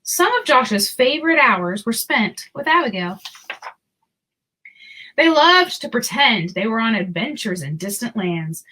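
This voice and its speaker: Lady reading a kids book out loud, higher voice, super expressive storyteller. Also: measured pace, slightly rough, poor audio. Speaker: children's book narrator. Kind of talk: expressive storybook read-aloud.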